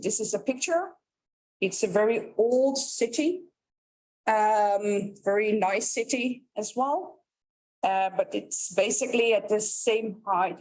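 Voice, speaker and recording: A middle-aged woman from the Netherlands with a Dutch accent, giving a university lecture, pausing often with ums and uhs. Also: mid-range voice, a little mellow, poor audio. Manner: unhurried with many ums and uhs. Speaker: guest lecturer.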